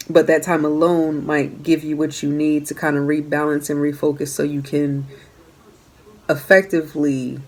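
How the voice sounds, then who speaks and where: A woman giving a casual tarot reading to her viewers, talking earnestly and repeating her phrases, her voice going hoarse. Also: low voice, a little mellow. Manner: earnest, repeats phrases while coaching the listener. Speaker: tarot reader.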